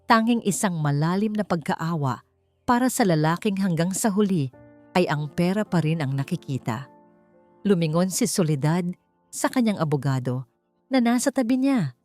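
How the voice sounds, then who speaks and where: A woman's voice: on the low side, darkish tone. A woman reading a scripted, dramatic story as its narrator.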